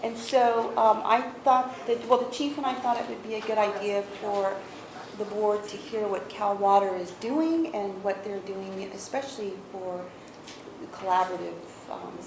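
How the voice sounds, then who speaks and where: A woman's voice: medium pitch, steady tone. American woman chairing, echoey noisy meeting room. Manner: halting, checks with others as she talks. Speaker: board member chairing the meeting. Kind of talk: informal meeting introduction. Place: public board meeting room. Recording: echoey meeting room with clear background noise.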